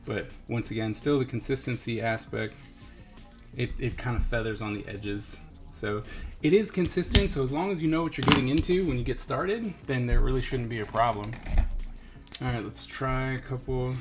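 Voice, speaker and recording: A man's voice: mid-range voice, moderate pace. A man giving a casual hands-on product review, thinking aloud informally and repeating himself as he muses. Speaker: host of an art supply review series. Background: background music.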